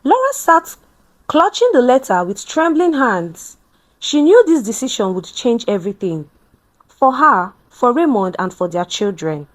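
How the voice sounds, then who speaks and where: A woman narrating a scripted dramatic story in a measured, expressive storytelling manner. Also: a little high, low-quality recording.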